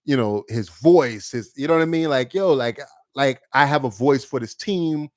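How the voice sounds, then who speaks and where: Animated, emphatic man on a podcast. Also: mid-range voice, moderate pace, no echo, clean studio sound. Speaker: podcast co-host. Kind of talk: casual chat.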